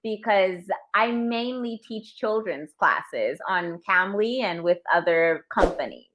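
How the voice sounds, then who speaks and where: Lively-voiced New York woman tutoring. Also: mid-range voice, slow pace, poor audio. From New York, USA. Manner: encouraging, frequent mm-hmms, corrects gently. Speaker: online English tutor. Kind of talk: slow, clear tutoring conversation. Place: home teaching room with toys. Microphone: video call.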